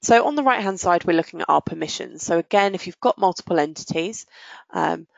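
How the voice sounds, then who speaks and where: A woman's voice: lowish voice, expressive, fairly quick, creaky, no echo, muffled recording. A woman giving a live product demonstration, explaining each step in an informal business tone. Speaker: software demonstrator. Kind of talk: live product demo walkthrough.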